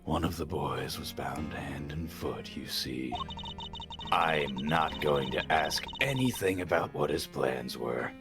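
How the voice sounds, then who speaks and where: A man voicing a calm, composed character in a fan dub of a game, speaking in a measured, deliberate way. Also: a bass voice, over music, exaggerated voice. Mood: intrigued.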